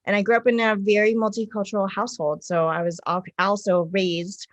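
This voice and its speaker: Woman, from the United States, interview guest, mid-range pitch, conversational, reflective, halting short phrases. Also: level tone. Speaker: interview guest, city councilwoman.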